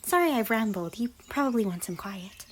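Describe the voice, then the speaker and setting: A woman voicing a chirpy, affectionate young pony in soft, gentle ASMR roleplay, often slipping toward a whisper. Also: fairly high pitch, expressive, a little fast, natural voice. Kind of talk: roleplay ASMR character dialogue. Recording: noisy, birdsong and outdoor ambience behind. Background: birdsong and nature ambience.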